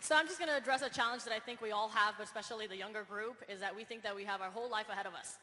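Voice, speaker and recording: Rapid-fire woman speaking in a hall. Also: medium pitch, sharp tone, some chatter behind, slight background noise. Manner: rapid, earnest, insistent. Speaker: speaker at a business event. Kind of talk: motivational stage speech. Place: large hall on stage. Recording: reverberant hall via PA.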